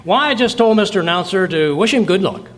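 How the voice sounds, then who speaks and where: A man acting a folksy, boastful, easily flustered middle-aged husband in an old-time radio comedy, stammering and excitable. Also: high-pitched, fairly quick.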